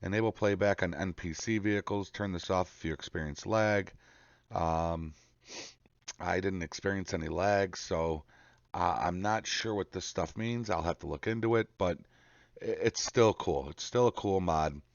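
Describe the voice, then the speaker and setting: A man hosting a casual step-by-step software tutorial in a folksy, reassuring way, often checking in with the listener. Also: low voice, moderate pace, gravelly. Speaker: tutorial host. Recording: muffled, roomy home recording.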